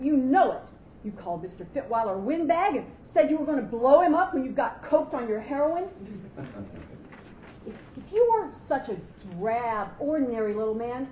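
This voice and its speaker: A woman's voice: high-pitched, mellow. A woman playing a brash, haughty and indignant office efficiency expert in a staged dramatic reading of a short story. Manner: haughty, sarcastic, emphatic. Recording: roomy, muffled live hall recording with hiss.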